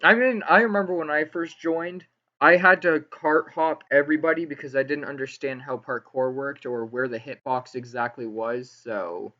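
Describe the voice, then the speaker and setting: Higher-pitched man, casual interviewer, lo-fi audio. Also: moderate pace. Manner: rambling, informal, slangy. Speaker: interviewer, gaming channel host. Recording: dull lo-fi voice recording.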